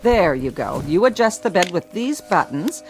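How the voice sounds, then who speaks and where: An actress playing a polite, brisk hospital nurse, patient and professional as she gives instructions in a screen drama. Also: on the low side, very animated, music in the background.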